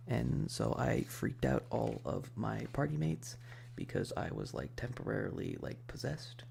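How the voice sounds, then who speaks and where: Male ASMR streamer, very gravelly fry, hushed rambling chat, animated intonation, slight background hum. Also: medium pitch. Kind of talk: casual ASMR livestream chat.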